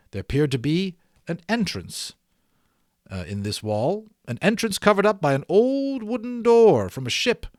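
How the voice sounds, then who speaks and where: A man reading a story aloud as an audiobook, expressive and given to dramatic emphasis. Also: mid-range voice, no room sound, studio quality. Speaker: audiobook reader. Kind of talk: animated read-aloud storytelling.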